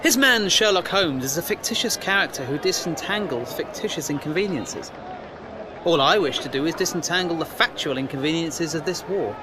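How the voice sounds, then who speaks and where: A man's voice: a little high, expressive, moderate pace, crowd noise, background noise, lightly acted. An actor playing an earnest, questioning First World War newspaperman, well-spoken, formal and wry, in an audio drama. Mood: earnest, probing. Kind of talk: period audio drama acting.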